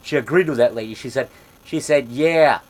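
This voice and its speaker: A man in his fifties telling a rambling story in a loud voice, repeating himself and getting worked up as he goes. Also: mid-range voice, normal speed. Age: 50s. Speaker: monster movie maker telling a story. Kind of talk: casual storytelling monologue.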